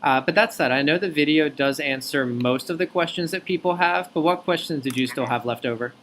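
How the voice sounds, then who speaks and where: A man with a slightly high voice speaks as a job recruiter on a call, over faint background noise. He explains in an organized, articulate way, laying out his answers point by point. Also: brisk, a bit bright. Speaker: company recruiter interviewing an applicant by phone. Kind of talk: explanatory job interview talk.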